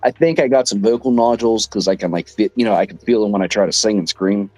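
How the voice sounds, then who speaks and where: Hoarse, low-voiced male rock singer. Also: fast talker, a little mellow, no echo, rough recording. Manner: laid-back, filler-heavy, rambling. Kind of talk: casual chat.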